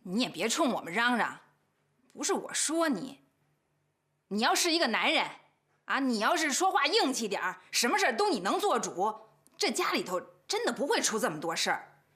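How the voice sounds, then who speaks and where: A woman's voice: on the high side, sing-song, moderate pace, fairly bright tone, breathy edge, heavily acted. An actress playing a brash, stubborn older sister in her late thirties in a TV family drama, combative and indignant. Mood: scolding. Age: late 30s. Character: brash, stubborn, scheming older sister, quick to take offence. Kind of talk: naturalistic TV drama acting. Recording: faint background music.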